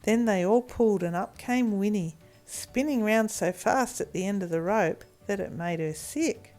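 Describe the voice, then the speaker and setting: A woman narrating a children's story in an expressive storytelling style. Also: medium pitch, normal speed, faint music behind.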